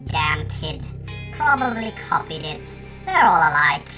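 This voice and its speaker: A man's voice: on the high side, big pitch swings, a little slow, pushed and bright, soft background music, exaggerated voice. A man voicing a scornful, dismissive adult authority figure grumbling about kids in a dramatic reading. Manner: sneering, dismissive.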